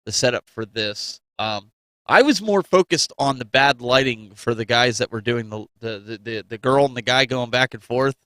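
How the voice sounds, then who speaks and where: A man's voice: medium pitch, moderate pace, pushed and bright, dry recording, poor audio. A man co-hosting a show, chatting casually and giving his opinions. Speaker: co-host. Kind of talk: casual chat.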